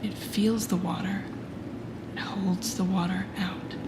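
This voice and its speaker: A woman playing a confident, teasing telepathic villain in an intimate audio roleplay, speaking softly and often near a whisper in a soothing, guiding way. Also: medium pitch, level tone, takes it slowly, heavy background noise. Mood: soothing, instructive. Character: teasing, confident female telepathic villain coaching a rookie hero. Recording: echoey indoor pool sound, music behind. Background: music.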